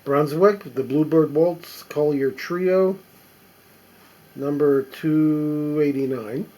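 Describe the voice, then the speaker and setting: A man casually talking to himself as he sorts a stack of old records, reading the labels aloud in a halting, musing way. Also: on the high side, mellow, low-quality recording. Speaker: record collector. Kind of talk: casual running commentary while sorting records.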